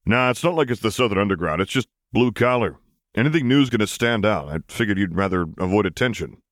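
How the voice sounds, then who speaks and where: A man's voice: lowish voice, lively intonation, quick pace, dry recording, natural voice. A brash, streetwise starship pilot as a male narrator plays him in a sci-fi storybook. He drawls in casual slang with a wry, offhand edge, and the sound is close and dry. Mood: brash, dismissive.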